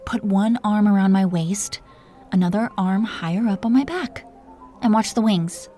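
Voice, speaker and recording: A woman's voice: medium pitch, moderate pace, dark tone, faint music behind, natural voice. A woman voicing a seductive, possessive dragon queen in an intimate romantic roleplay audio, teasing and commanding as she flirts with pet names. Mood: playful, commanding.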